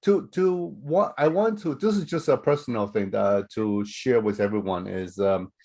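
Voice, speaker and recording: A man's voice: fairly low pitch, normal speed, darkish tone. A middle-aged man from Canada hosting a live webinar Q and A, talking loosely with lots of fillers and restarts. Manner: rambling, many fillers and restarts. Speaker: webinar host.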